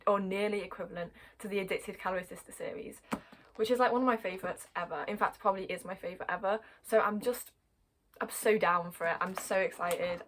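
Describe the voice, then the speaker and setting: A young British woman with a croaky voice, chatting excitedly to camera as a book vlogger in a converted attic, giggling and correcting herself as she rambles. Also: mid-range voice, slight background noise. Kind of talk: casual chat to camera.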